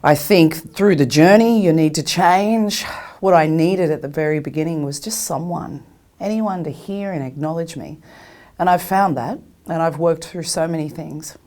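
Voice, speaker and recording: A woman's voice: low voice, moderate pace, a little mellow. A woman carer giving reflective personal testimony, often repeating words for emphasis. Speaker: carer sharing personal experience.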